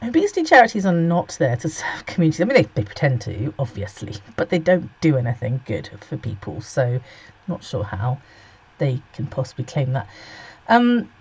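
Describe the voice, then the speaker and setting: A man's voice: fairly high pitch, huge pitch swings, moderate pace, a little mellow, breathy edge. A man in his forties hosting a casual solo monologue, sarcastic and blunt, swearing freely and a bit sniffly with a cold. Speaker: host. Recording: dull lo-fi recording.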